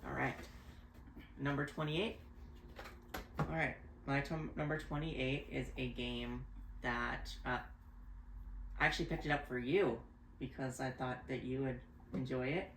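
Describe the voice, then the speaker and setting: A man's voice: mid-range voice, steady tone, unhurried, pauses often, fairly bright tone, slight background noise. Hesitant man explaining board game rules. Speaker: board game reviewer and co-host. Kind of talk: casual chat. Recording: roomy echo.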